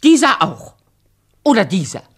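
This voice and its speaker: A woman's voice: very animated, measured pace, slightly rough. An actress playing a scheming evil queen who poses as a fruit seller, theatrical and falsely sweet in a radio play. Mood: sly, coaxing. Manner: theatrical, falsely sweet, swooping from crooning to shrill. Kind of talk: German radio play fairy-tale acting.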